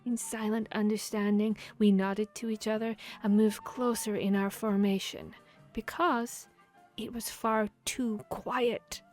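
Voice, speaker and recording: A woman playing a boastful, playful vampire hunter in a fantasy audio roleplay, speaking in a theatrical, expressive storytelling manner. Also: medium pitch, a little slow, close to an everyday voice. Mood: dramatic, proud. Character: boastful, playful female vampire hunter telling tavern tales. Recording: music behind the voice. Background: background music, tavern ambience.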